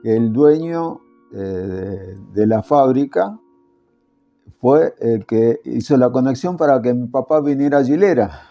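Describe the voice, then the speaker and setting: Gravelly older Italian-born man, hesitant. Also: mid-range voice, expressive, unhurried, muffled recording, faint music behind. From Italy. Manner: hesitant, many fillers and restarts. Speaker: interviewee, former factory worker. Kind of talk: casual interview.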